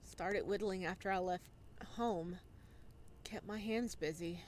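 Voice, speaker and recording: A woman voicing an awkward, intense, mysterious young man from a visual novel, hesitant and soft-spoken. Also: lowish voice, measured pace, a little hiss or hum, close to an everyday voice. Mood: quiet, wistful. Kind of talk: visual novel character read aloud.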